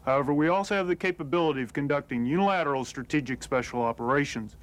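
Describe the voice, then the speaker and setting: A man, an army detachment commander, delivers a formal, scripted introduction. His delivery is even, and his voice sits a little high. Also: fast talker. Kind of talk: scripted military self-introduction.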